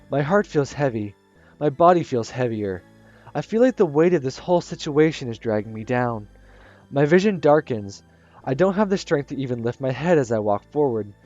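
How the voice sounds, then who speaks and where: A man reading the inner thoughts of a shy, anxious one-eyed teenage girl in a visual novel, soft and hesitant. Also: a little high. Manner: soft, hesitant narration with restarts. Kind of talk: first-person visual novel narration. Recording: slightly muffled with soft music.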